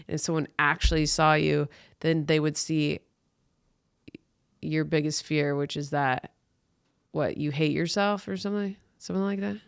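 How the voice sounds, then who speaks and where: A woman's voice: deep voice, steady tone, normal speed, creaky, long pauses, clear, resonant tone, dry recording, studio quality. A woman hosting a comedy podcast, riffing in a blunt, sweary, exaggerated and irreverent way. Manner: blunt, sweary, exaggerated, breaking into mock voices.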